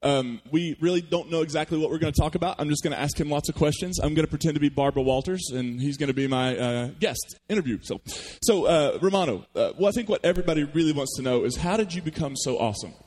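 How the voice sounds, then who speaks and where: A man hosting an onstage interview before an audience, asking friendly and gentle questions. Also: a little high, expressive, fast, few pauses. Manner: upbeat and friendly in the introduction, then soft and gentle with his questions. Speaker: host interviewer. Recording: reverberant hall.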